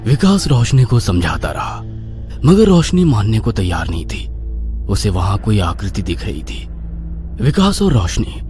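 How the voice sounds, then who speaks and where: Expressive low-voiced male storyteller. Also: unhurried, faint music behind. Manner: theatrical, suspenseful storytelling. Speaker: narrator. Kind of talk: dramatic horror story narration in Hindi.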